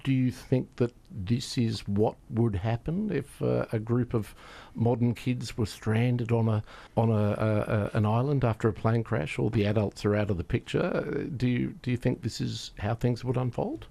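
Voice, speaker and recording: A man conducting a radio interview in a hesitant, halting way. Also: medium pitch, gravelly, mellow.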